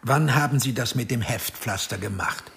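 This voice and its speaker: An investigator in a radio play, questioning tersely. The voice is very rough and husky, full of gravel, at an average pitch. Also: male voice, normal speed, a bit bright, heavily acted. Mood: probing. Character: probing male investigator taking a confession. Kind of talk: radio drama acting.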